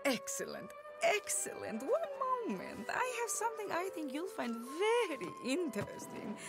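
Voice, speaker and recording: An actress playing a mysterious fortune teller in a video game, coaxing and deliberate in a theatrical way. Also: female voice, mid-range voice, very animated, music in the background. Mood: persuasive, pleased. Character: mysterious, theatrical middle-aged woman fortune teller and trader. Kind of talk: video game cutscene acting.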